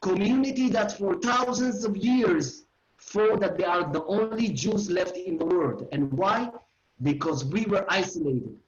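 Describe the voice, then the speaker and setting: A man in his forties with an Israeli accent, giving an online history lecture in simple phrasing addressed to his listeners. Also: sits high. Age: 40s. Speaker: educational tour guide giving a lecture. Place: living room at home. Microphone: video call. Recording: roomy, slightly dull video-call audio.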